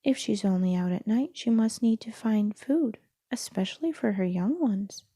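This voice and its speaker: A woman voicing a gentle, caring mother kangaroo in a storybook, with a motherly way of talking. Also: mid-range voice, expressive, moderate pace, slightly rough, no room sound, clean studio sound.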